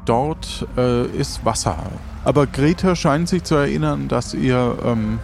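Slow, halting man narrating an adventure. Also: medium pitch, creaky, noisy background. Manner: halting, searching for words. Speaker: game master and narrator.

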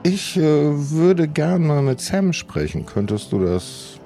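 A man playing a gruff but helpful pirate in an improvised audio play, talking in a hesitant, conversational way. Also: a little high, very animated, slow pace, mellow, soft background music, heavily acted. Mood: friendly.

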